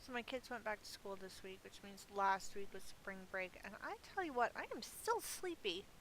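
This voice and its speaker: A middle-aged woman hosts a gameplay video, chatting casually and thinking aloud with a self-amused air. Her voice sits at an average pitch for a woman. There is a noticeable hiss on the recording. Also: sing-song, moderate pace, a bit bright, poor audio. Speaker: gaming video host. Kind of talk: casual rambling gameplay commentary. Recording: clearly audible background hiss.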